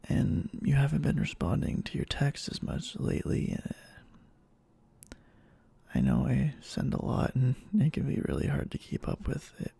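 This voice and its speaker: Guy acting as a needy obsessive boyfriend, asmr roleplay, super raspy half-whisper, slow n hesitant, creaky. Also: medium pitch, wildly swooping pitch, warm tone, no room sound, heavily acted. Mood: hurt, anxious. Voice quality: breathy, husky half-whisper. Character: clingy, obsessively devoted yandere boyfriend, needy and anxious.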